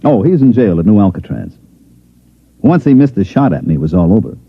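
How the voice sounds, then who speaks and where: An American actor playing a wry, brash special agent telling his adventure in 1950s radio style, with a husky voice. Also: lowish voice, sing-song, fairly quick, exaggerated voice. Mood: wry, relieved. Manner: wry, informal storytelling. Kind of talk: 1950s radio acting, first-person narration. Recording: dull, muffled old radio broadcast.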